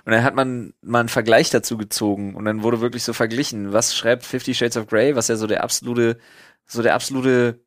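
A man's voice: medium pitch, steady tone, a little fast, no echo, studio quality. A young man co-hosting a podcast in casual, crude, slangy and jokey banter. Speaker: podcast co-host.

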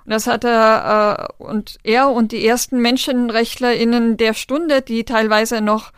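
A woman answers interview questions in an earnest, measured way, pausing with small fillers as she gathers her thoughts. Her voice sits a little high and sounds smooth and clear. The recording is dry and close. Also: fairly even intonation, dry recording. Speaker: interview guest.

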